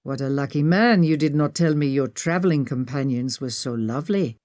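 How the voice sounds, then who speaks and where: A woman playing a courteous, warm Egyptian man with an Egyptian accent in a narrated story. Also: rumbling low, moderate pace, dry recording, clean studio sound.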